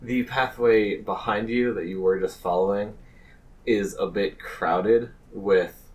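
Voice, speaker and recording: A man narrating a tabletop game as game master, in a halting way with amused asides. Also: fairly low pitch, fairly even intonation. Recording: slightly distant mic, faint noise.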